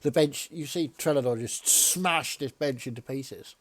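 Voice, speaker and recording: A man's voice: medium pitch, measured pace. A man running a tabletop game as dungeon master, dry and teasing as he calls turns and rolls.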